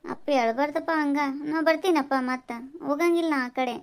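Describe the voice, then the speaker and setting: A woman's voice: sits high, brisk. A woman playing a tender young bride in a family skit, soothing and affectionate. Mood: tearful, soothing.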